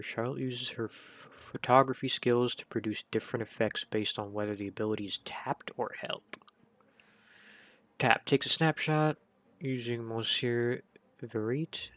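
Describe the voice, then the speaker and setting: Male gaming streamer, creaky mid-range voice, casual rambling commentary, off-the-cuff delivery. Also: mid-range voice, fairly bright tone. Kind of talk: casual game commentary, reading skill descriptions aloud.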